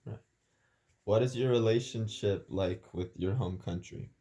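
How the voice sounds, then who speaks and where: A man's voice: on the low side, a little slow, mellow. A man interviewing a guest, asking brief, plain questions from some distance from the mic.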